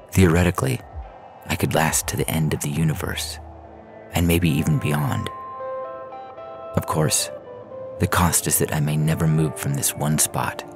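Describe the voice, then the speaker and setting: A man's extremely deep voice, heavy with gravelly fry, reads a science fiction story. His delivery is measured and literary, like a storyteller, with faint music running underneath. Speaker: fiction podcast narrator. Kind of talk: scripted first-person science fiction story reading. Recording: music bed under the reading.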